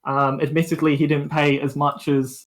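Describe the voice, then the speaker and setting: A man's voice: a little high, level tone, moderate pace. Young male, student radio co-host. Casual, conversational livestream chat. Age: young adult.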